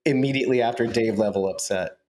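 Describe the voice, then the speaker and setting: A man's voice: medium pitch, fast, a little mellow. A man co-hosting a podcast in casual chat, joking and teasing his co-host, laughing often.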